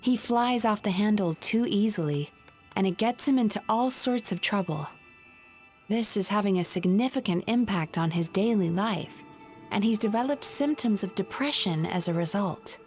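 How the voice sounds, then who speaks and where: An actress playing a composed, polite counselor in a video game, speaking in a measured, articulate, gently firm way. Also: female voice, medium pitch, expressive, faint music behind, lightly acted.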